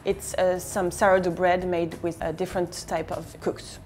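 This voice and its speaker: Woman owner talking, halting and hesitant. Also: fairly low pitch, flat delivery, darkish tone, slight background noise. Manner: halting, with many fillers. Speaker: café owner. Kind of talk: casual interview. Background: background music.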